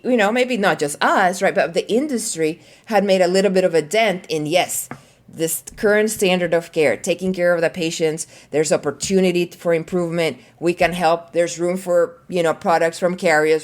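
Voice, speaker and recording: A middle-aged woman from El Salvador speaks in a podcast interview with a slightly low voice. She is earnest and reflective, pausing often with small fillers as she thinks through her answers. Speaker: marketing leader, podcast guest.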